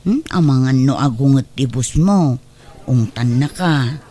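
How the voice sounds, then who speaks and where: A woman playing a confused, gentle old homeless woman in a radio drama, wistful and wandering in her speech. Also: a bass voice, unhurried. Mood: gentle, concerned. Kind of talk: Ilocano radio drama acting.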